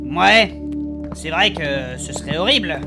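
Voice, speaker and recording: A man's voice: on the high side, lively intonation, measured pace, vocal fry, loud music behind the voice. A man playing a crude, impatient, easily panicked adventurer who swears and hesitates in a comedic fantasy audio drama. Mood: hesitant agreement.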